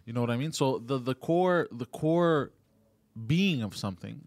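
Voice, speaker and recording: A man co-hosting a podcast, bringing up news topics in casual chat, halting and often repeating words as he talks. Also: medium pitch, lively intonation, a little mellow, no room sound. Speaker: podcast co-host.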